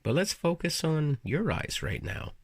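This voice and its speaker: Husky guy doing a guided meditation story, hypnotic and soothing, really dry close sound. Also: on the high side, big pitch swings, no echo. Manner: deliberate, soothing hypnotic instruction with storyteller flourishes.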